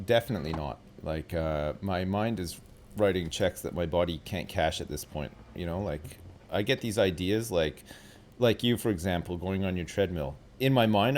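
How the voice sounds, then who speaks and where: A man's voice: deep voice, a little hiss or hum. A man in his forties hosting a casual gaming stream, explaining things in a rambling way with lots of fillers and some self-deprecation. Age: 40s. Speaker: streamer host.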